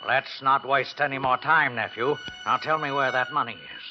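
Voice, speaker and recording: An actor playing a sly, menacing older uncle with mock affection, in 1950s radio drama style on a vintage recording. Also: male voice, medium pitch, moderate pace, dull audio, over music, lightly acted. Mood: sly menace.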